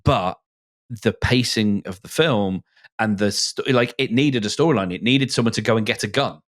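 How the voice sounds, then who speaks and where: A middle-aged man talking casually and enthusiastically about books and films, in halting, stop-start phrases. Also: fairly low pitch, a bit bright.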